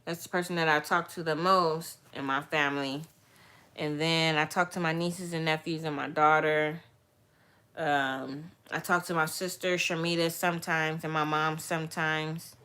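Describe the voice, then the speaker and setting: A woman, chatting casually and candidly with viewers, rambling and turning soft and heartfelt as she talks. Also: low-pitched, fairly even intonation, moderate pace, smooth. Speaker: vlogger answering viewer questions. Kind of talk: casual livestream chat.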